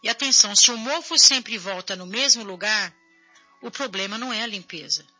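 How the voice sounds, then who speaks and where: Woman, Brazilian accent, hoarse, bright piercing tone, chatty TV host, faint music behind. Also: medium pitch, fairly quick, highs cut off. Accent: Brazilian Portuguese. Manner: chatty, addresses viewers directly. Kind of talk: casual talk show, reading viewer messages.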